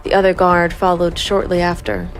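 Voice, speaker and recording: A woman's voice: fairly low pitch, level tone, moderate pace, faint music behind, lightly acted. A woman playing a cold, composed vigilante investigator, narrating a gritty noir crime audio drama in a controlled, stern way. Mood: cold, tense. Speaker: narrator and lead character.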